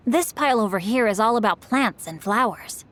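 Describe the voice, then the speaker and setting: A woman's voice: on the high side, animated, normal speed, a bit bright. A woman playing a polite, helpful, orderly searcher in a video game. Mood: helpful, businesslike.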